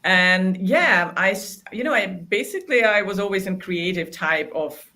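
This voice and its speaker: A woman in her thirties from Hungary whose first language is Hungarian, a guest telling her story in a halting way with many fillers. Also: medium pitch, animated. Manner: warm, halting, many "you know" fillers. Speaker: guest, collage artist. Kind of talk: personal storytelling in an interview.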